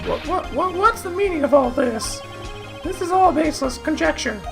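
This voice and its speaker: A man's voice: very high-pitched, animated, normal speed, creaky, exaggerated voice. A man voicing a flustered, indignant, fussy courtroom prosecutor from a video game, with music behind him. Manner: fussy, sputtering, protesting. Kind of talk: hobby video game dub.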